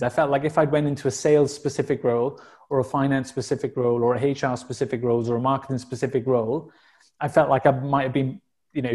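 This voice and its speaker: Welsh guest in his thirties, warm relaxed tone, reflective and chatty. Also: medium pitch. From South Wales. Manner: open and reflective, frequent fillers and restarts. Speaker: podcast guest. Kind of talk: casual conversational interview.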